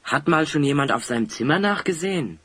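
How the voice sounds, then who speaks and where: Lively, decisive adventuring hero. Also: male voice, a little high, fairly quick, husky. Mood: eager, commanding. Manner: theatrical, expressive. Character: decisive, inquisitive leader of adventuring heroes. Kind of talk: German fantasy audio play acting.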